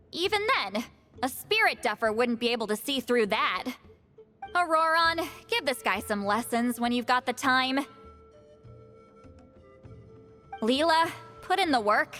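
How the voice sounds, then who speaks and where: A sassy, proud young female seer from a video game. Her high voice swoops expressively, brash and teasing. It carries a long reverb with music behind it. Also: bright, forceful tone, exaggerated voice. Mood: indignant, bossy. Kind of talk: animated video game cutscene acting.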